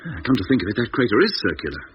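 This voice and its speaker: A noisy vintage radio drama recording. a steady, commanding space crewman with a lowish voice. He talks quickly and firmly, with animated intonation. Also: lo-fi sound. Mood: subdued, questioning. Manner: brisk, firm, commanding. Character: firm, peacekeeping male space crewman mediating between crewmates. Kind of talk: 1950s British radio drama acting.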